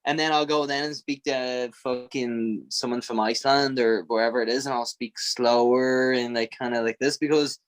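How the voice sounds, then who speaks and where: A man's voice: medium pitch, steady tone, no echo. A man with an Irish accent, a podcast guest talking bluntly and profanely in a casual interview. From Ireland. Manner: blunt, profane and fiery, quick to laugh.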